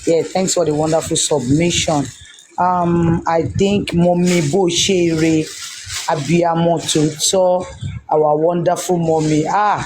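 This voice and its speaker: A man moderates an online audio chat, polite and apologetic. His voice sits high for a man, with faint noise in the background. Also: darkish tone, poor audio. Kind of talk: casual group audio chat.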